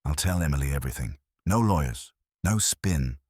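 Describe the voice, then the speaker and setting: Man reading a low-voiced, guilt-burdened woman client. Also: lively intonation, moderate pace, darkish tone, husky, no echo, clean studio sound, full character voice. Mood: remorseful.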